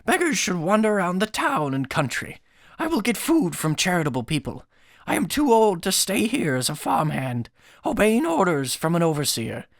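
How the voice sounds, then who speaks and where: Guy doing old ragged beggar man from an epic audiobook, humble n plaintive, voice lifted high. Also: creaky, no echo, clean studio sound, heavily acted.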